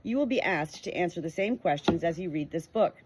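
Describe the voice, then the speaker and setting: A woman's voice: fairly low pitch, lively intonation, a little fast, background noise. A woman reading a book aloud in an earnest, emphatic way, now and then breaking off into chatty personal asides. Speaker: audiobook reader.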